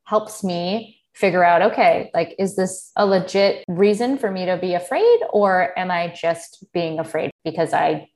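A woman's voice: lowish voice, a little slow, hardly any pauses, darkish tone. An American woman in her thirties, a podcast guest, speaking in a candid, reflective way and quoting her own thoughts. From United States. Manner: earnest, candid, quotes herself in bursts. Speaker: podcast guest, former Olympic gymnast. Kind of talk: reflective interview talk.

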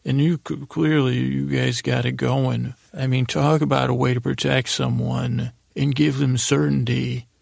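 A man with a heavily creaky, gravelly voice tells a rambling, improvised bedtime story. His pitch barely moves as he meanders, doubles back and trails off. The recording is very dry and close. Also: level tone, darkish tone, no room sound. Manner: meandering, halting, repeats and trails off. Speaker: podcast host.

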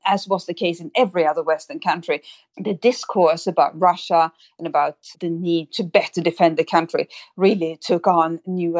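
A woman speaking as an expert guest in an interview, explaining things in an articulate, measured way. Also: on the low side, dry recording. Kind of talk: spoken analysis in an interview.

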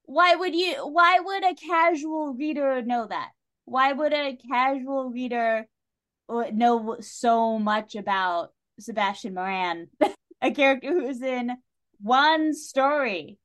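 A woman's voice: sits high, takes it slowly, fairly bright tone. A woman talking casually and animatedly about books as a podcast guest, with informal, salty language. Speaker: guest on a book discussion podcast. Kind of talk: casual chat, literary discussion.

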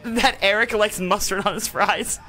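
A woman's voice: mid-range voice, steady tone, moderate pace, bright, forceful tone, faint music behind. A woman co-hosting a casual podcast, giggly and playfully teasing. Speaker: podcast co-host. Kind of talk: casual chat.

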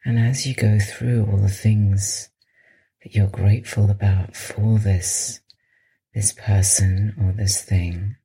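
A woman with a soft, breathy voice guides a meditation in a soothing way, pausing at length between phrases. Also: extremely low pitch, low-quality recording. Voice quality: soft, breathy, sometimes near a whisper. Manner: slow, soothing, with long pauses. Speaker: meditation podcast host and guide.

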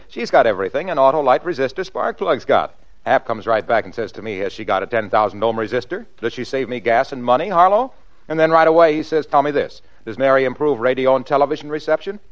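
A man's voice: mid-range voice, big pitch swings, brisk. A man announcing a comic 1940s radio commercial with exuberant, folksy sales patter. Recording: muffled old radio recording.

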